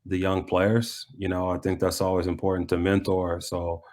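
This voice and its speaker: A man with a low voice and fairly flat intonation talks casually as an interview guest. He is a coach and former athlete, thoughtful and halting, circling back over his phrases. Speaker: soccer coach and former pro player being interviewed. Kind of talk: casual reflective interview answers.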